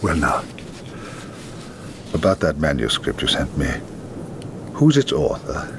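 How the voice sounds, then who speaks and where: A worldly, self-mocking man who probes with dry irony. His voice sits deep and low. A clear rumble runs through the film soundtrack. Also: sing-song, takes it slowly, heavily acted. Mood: probing. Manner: dry, ironic questioning. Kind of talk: film drama dialogue.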